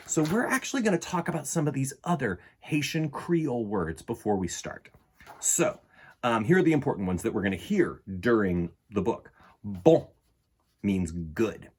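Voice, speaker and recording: A man in his forties with a slightly husky voice, reading and presenting a children's picture book in an expressive, dramatic way. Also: mid-range voice. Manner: animated, dramatic, with deliberate pauses and emphasis. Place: small indoor room in front of bookshelves.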